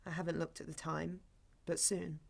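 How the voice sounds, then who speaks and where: Audio drama role: guarded woman placating a clingy date, slightly low voice with vocal fry, calm firmness. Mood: guarded, conciliatory. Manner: measured, firm but gentle. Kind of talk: naturalistic audio drama acting.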